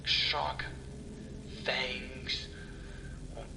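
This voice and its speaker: A man narrating a first-person horror story dramatically, in halting short phrases that often drop to a breathy half-whisper. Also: mid-range voice, little pitch movement, background noise. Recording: echoey room with music underneath.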